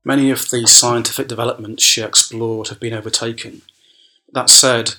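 Very crisp, bright scholarly man. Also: mid-range voice, poor audio. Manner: formal, scholarly. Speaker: academic speaker. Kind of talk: scripted essay narration.

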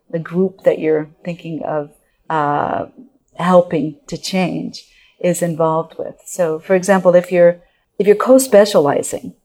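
A woman's voice: fairly low pitch, dark tone. A middle-aged woman from Japan, a guest expert on organizational culture, explaining her ideas in a thinking-aloud interview manner with restarts. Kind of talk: conversational interview answers.

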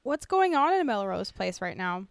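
A woman's voice: medium pitch, very animated, normal speed, vocal fry, dry recording, clean studio sound. A woman podcast co-host in casual chat, asking questions and reacting in an informal, exclaiming way.

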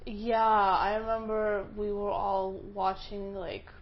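A young college student from Russia, a native Russian speaker, answering interview questions casually with lots of "like" and quick self-corrections. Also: female voice, mid-range voice, level tone, muffled recording. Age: young adult.

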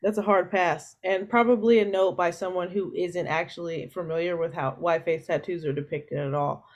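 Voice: medium pitch, fairly even intonation, normal speed, highs cut off. A guest in a casual film discussion who speaks bluntly and frankly, with dry remarks. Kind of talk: casual conversation.

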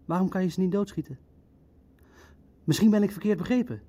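Legalistic nazi official in a radio play, raspy slightly high voice, confused, keeps questioning. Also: male voice, fairly quick, dark tone. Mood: challenging. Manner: questioning, interrupting, formal. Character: puzzled, legalistic Nazi official demanding explanations. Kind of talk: dramatic dialogue in a radio play.

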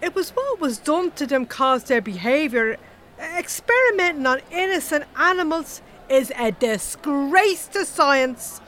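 An actress playing a blunt, chatty, gossipy Irish animal-rights activist with an earthy colloquial manner in a comedy audio drama. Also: female voice, sits high, very animated, unhurried, fairly bright tone, full character voice. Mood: indignant.

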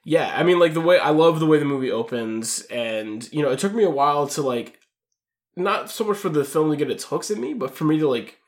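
A man's voice: a little high, animated, brisk. Informal man chatting as podcast co-host. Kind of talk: casual film discussion chat.

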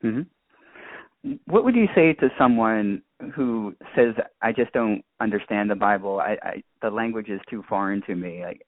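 A man's voice: on the low side, dry recording, phone-quality sound. A man hosting a radio interview, asking questions and presenting the show.

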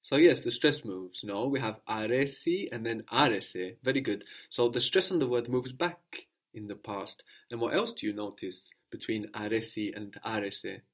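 A man's voice: on the low side, moderate pace, few pauses. A man teaching a language lesson, explaining patiently and prompting his student with questions.

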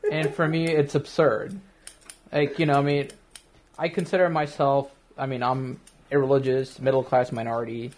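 A man's voice: mid-range voice, moderate pace. A young man from the United States talking casually and emphatically, with filler words, arguing his point of view. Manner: animated, emphatic, laughs while arguing. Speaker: interviewee, barbershop customer. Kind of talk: casual conversation.

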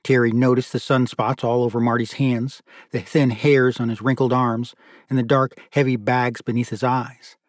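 A man narrates a literary novel in a scripted audiobook style. His voice has a middling pitch. The recording is dry, with no room sound. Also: flat delivery, normal speed, no room sound.

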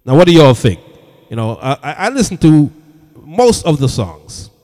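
A man hosting a reggae radio show, chatting casually with listeners, giving shout-outs and asking for their views. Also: a little high, big pitch swings, moderate pace. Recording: long reverb with music underneath. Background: reggae music playing.

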